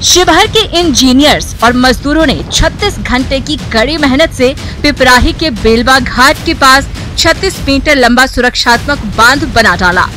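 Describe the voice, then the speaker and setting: High-voiced woman reading news. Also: normal speed, hardly any pauses, music in the background. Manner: formal, steady news read. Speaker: news anchor. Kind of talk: scripted news narration.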